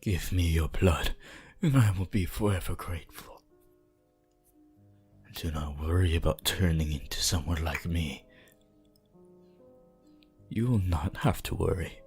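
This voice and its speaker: A man playing a weakened, bloodthirsty vampire in an ASMR roleplay, pleading and menacing in a theatrical, archaic way, with a husky voice that often drops to a whisper. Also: sits low, a little mellow, soft background music. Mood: weak pleading. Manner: slow, halting, archaic and dramatic delivery.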